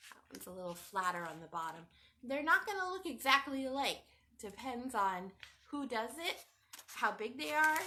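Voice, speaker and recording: A woman hosting a children's craft lesson at home, talking straight to young viewers in an animated, sing-song way. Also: on the high side, a little hiss or hum. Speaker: children's show host. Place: home room with a cat nearby.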